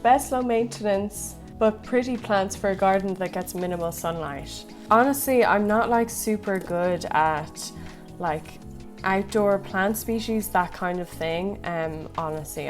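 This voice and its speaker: A woman's voice: mid-range voice, slow pace, faint music behind. A young woman from Ireland with a slightly American-tinged accent, chatting casually and rambling through viewer questions. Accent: slightly American-tinged Irish. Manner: rambling, light laughs, filler-heavy. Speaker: plant vlogger. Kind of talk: chatty Q&A while repotting.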